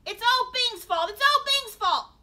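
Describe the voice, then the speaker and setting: A woman's voice: very high-pitched, expressive, a little slow, bright, forceful tone, voice pushed up, exaggerated voice. A woman voicing a frantic, guilty boy in a storybook reading, pleading and whining excuses.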